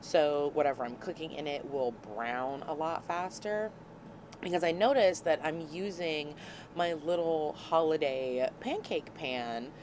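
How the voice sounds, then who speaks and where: A woman hosting a casual cooking vlog from a small RV, slightly hoarse and scratchy from a cold, chatty and laughing at her own clumsiness. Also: low voice, a little mellow. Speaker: vlog host. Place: small RV kitchen. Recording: noticeable background noise.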